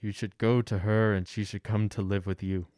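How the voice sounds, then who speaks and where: An actor playing a kind but authoritative male mayor in a radio drama, calm and firm. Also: fairly low pitch, normal speed, no room sound, natural voice. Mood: gentle.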